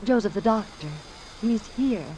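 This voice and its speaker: A woman's voice: on the high side, animated, unhurried, warm tone. An actress playing an anxious, evasive young woman in an old-time radio drama, earnest and pleading. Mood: worried, pleading. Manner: earnest, urgent. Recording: noisy old radio recording.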